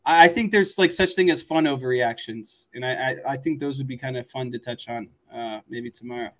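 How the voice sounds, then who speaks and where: A man's voice: medium pitch, a little fast. A man co-hosting a sports podcast in casual, conversational chat. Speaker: podcast co-host.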